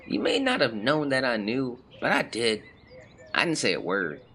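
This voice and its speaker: An actor playing a melancholy young man who reads out a confessional farewell letter in measured, formal words, as in an audio drama. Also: mid-range voice, huge pitch swings, sharp tone, a little hiss or hum, exaggerated voice. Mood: somber, reflective. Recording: clear birdsong and outdoor ambience behind. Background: birdsong, nature ambience.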